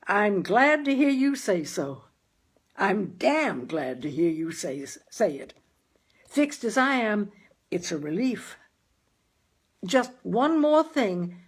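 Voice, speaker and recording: A woman voicing a gloomy, plainspoken working-class father in a storybook, with folksy grammar and a deliberate, emphatic delivery. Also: on the high side, voice pushed up, heavily acted. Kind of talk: dramatized audiobook character.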